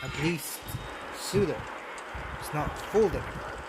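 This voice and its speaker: A woman's voice: low-pitched, measured pace, noisy background. A woman giving casual, blunt live commentary as a game streamer, reacting as the game plays. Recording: loud game audio behind voice. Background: game sound.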